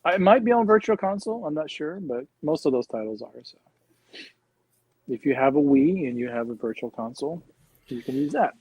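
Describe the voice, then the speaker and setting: A man co-hosting a casual live chat, his voice sitting somewhat high. He rambles through explanations with lots of fillers and restarts. The sound is dry and close. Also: animated, brisk, a little mellow, slightly rough, dry recording, poor audio. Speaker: regular co-host.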